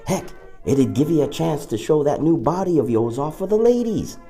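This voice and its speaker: A man's voice: fairly high pitch, animated, moderate pace, dark tone, faint music behind. A man playing a chummy, wisecracking henchman to an evil boss in an audio drama, with a folksy, casual manner. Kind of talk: audio drama character acting.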